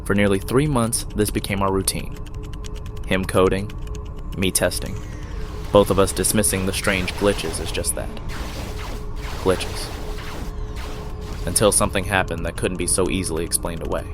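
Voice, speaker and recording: Low-voiced man as a storyteller over music. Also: fairly even intonation, normal speed, pauses often, natural voice. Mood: intrigued, ominous. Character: male storyteller recounting his programmer friend's eerie tale. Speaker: narrator. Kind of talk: scripted first-person dramatic narration.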